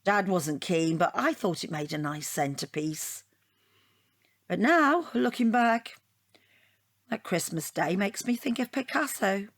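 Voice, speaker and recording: An actress playing a chatty, defensive middle-aged British divorcee in an audio comedy drama, rambling and self-justifying with a wry edge. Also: female voice, mid-range voice, lively intonation, unhurried, dry recording, studio quality. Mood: wry, reminiscing.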